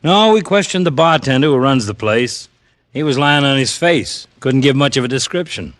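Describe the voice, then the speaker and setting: An actor playing a matter-of-fact American police detective in an old-time radio drama, plain and businesslike. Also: male voice, mid-range voice, animated, normal speed, a bit bright, close to an everyday voice.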